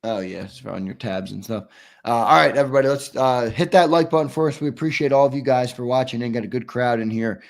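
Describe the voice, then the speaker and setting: A man's voice: mid-range voice, fast talker, gravelly. A man hosting a casual sports talk show, upbeat and losing his voice. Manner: upbeat, reads viewer questions and ad reads. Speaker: host.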